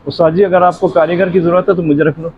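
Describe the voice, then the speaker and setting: An actor playing a poor, honest tailor with a deferential, pleading way of speaking in a moral drama. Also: male voice, on the high side, fairly even intonation, fast talker, darkish tone, dull audio, slight background noise, natural voice. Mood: humble appeal. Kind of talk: moral story drama acting.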